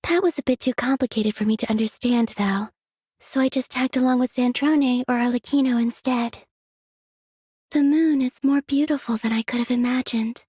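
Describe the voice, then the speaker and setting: A woman voicing a gentle, dreamy young moon maiden from a video game, speaking softly and airily in an unhurried, serene way. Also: a little high, no echo, clean studio sound. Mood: dreamy, matter-of-fact. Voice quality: soft, breathy. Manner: unhurried, airy, serene, delicate. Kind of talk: scripted video game character voice lines.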